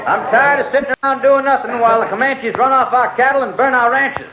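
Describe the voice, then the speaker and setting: A man's voice: extremely high pitch, steady tone, fast talker. An actor playing an impatient, hot-headed cattle rancher in an old radio western, a man with an American accent and confrontational, folksy speech. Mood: angry, rousing. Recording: muffled, hissy vintage radio recording.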